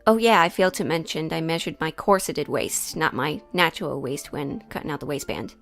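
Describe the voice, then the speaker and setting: A woman's voice: sits low, brisk, a bit bright, faint music behind. A woman hosting a sewing vlog in a chatty, jokey, self-deprecating way, moving between casual asides and scripted narration. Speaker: sewing vlogger and host.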